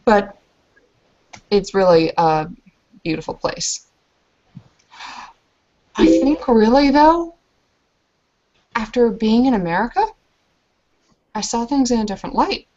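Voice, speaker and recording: A woman's voice: on the high side, very animated, takes it slowly, long pauses, a little mellow, muffled recording. A woman voicing a self-deprecating female werewolf warrior who tells of her battles in a hesitant, rambling way. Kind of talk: in-character tabletop roleplay storytelling.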